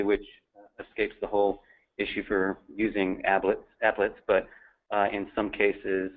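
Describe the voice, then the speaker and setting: A man presenting a technical webinar, explaining things in an informal, matter-of-fact way. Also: fairly low pitch. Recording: thin phone-like line.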